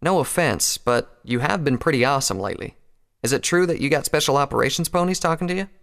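A man's voice: a little high, expressive, quick pace, vocal fry, a bit bright, voice pushed up. A man voicing a plain-spoken, sober female country pony soldier with a blunt, folksy manner. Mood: curious.

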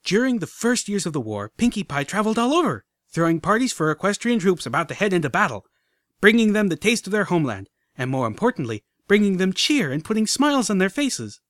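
A man's voice: very high voice, animated, normal speed, dry recording, studio quality, voice pushed up. A man voicing a hyperactive young female pony who squeals and talks in breathless bursts, in an audiobook reading. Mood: gushing. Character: hyperactive young female pony filly, giddy tour guide. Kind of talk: audiobook character voice.

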